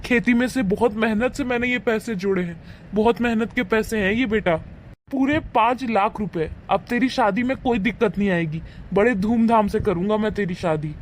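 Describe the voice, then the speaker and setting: A man voicing a poor old village farmer, a caring but wary father, in an animated moral story. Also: high-pitched, moderate pace, a little hiss or hum, natural voice. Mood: proud.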